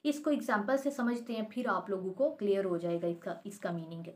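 A woman's voice: medium pitch, fairly quick. A young woman with a Pakistani accent explaining law in an instructional way, mixing in English terms. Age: young adult. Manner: instructional, mixes English legal terms into speech. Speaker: advocate teaching law. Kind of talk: explanatory law lecture with examples. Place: small furnished room indoors.

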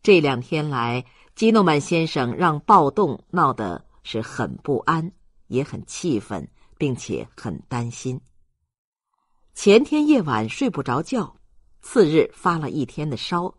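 An audiobook narrator reading a literary story in a measured, dramatic storytelling manner. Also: mid-range voice, breathy edge, no echo, clean studio sound.

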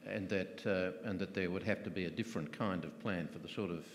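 A man moderating a conference panel, asking measured questions with some fillers. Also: deep voice, a little hiss or hum. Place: conference stage in a large hall. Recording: long hall reverb.

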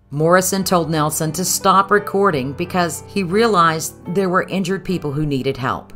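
Woman narrator doing a history script, pretty low voice, faint music under it. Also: fairly bright tone.

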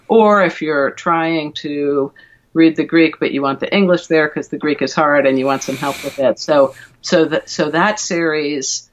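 A middle-aged woman explaining things thoughtfully and haltingly as an interview guest, over a video call. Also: low voice, a little hiss or hum. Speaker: guest, verse translator and classicist. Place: indoor room at home, video call. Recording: dull, lo-fi video call audio.

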